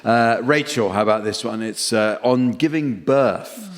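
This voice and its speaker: A man chairing a comedy radio panel game, reading out verses and jokes in a dry, deadpan way. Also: medium pitch, a little slow. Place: theatre stage before an audience. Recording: noisy hall with long reverb.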